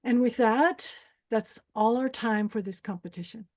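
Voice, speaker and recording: A woman's voice: on the high side, a little mellow. Woman playing a bossy, menacing female pageant host. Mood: commanding. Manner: brisk, commanding. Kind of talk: screenplay table read acting.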